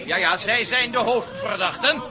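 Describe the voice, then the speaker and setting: An actor playing a pompous, formal police commissioner who repeats himself, in a children's radio play. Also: male voice, high voice, moderate pace, lightly acted. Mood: officious. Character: fussy, self-important police commissioner. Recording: music and crowd behind voice.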